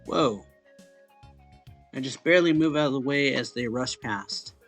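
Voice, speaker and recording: A man narrates a visual novel in a casual, informal manner. His voice sits at a middling pitch with a creaky, fry-edged texture, and music plays behind him as he reads. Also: measured pace, a bit bright. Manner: informal, stumbles and corrects misreadings. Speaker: let's play host reading a visual novel aloud.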